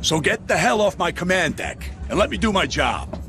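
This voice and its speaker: A man's voice: high-pitched, wildly swooping pitch, moderate pace, fairly bright tone, soft background music, full character voice. An actor playing a dismissive, impatient male administrator in charge of a sci-fi command deck in a video game. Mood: angry, dismissive. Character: gruff, brusque male corporate administrator in command.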